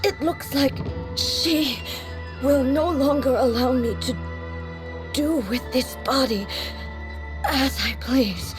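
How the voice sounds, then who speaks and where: A woman's voice: high-pitched, slow, long pauses, music in the background. A woman playing a solemn, resolute, regal immortal warrior in a video game, speaking in a formal, grave way. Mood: strained, resolute. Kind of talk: dramatic video game voice acting.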